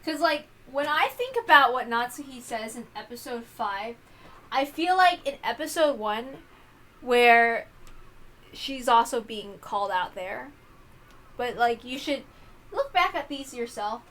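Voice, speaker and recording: Bright, high-pitched woman chatting casually. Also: expressive. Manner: rambling, halting, self-interrupting. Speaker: host reading a visual novel aloud. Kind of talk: casual reading commentary.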